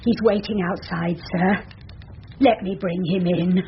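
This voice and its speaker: Motherly woman, gentle, earnest, tender, radio play, muffled old recording, light hiss. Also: lowish voice, fairly even intonation, a little slow. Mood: earnest pleading. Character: kindly, motherly, selfless woman pleading for others. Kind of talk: classic radio drama acting.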